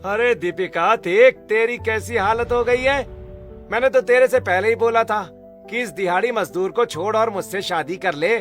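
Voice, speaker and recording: A man's voice: high voice, normal speed, fairly bright tone, faint music behind, pitched above the performer's own voice. A man playing a boastful young landlord's son from a village in a cartoon, taunting and bragging.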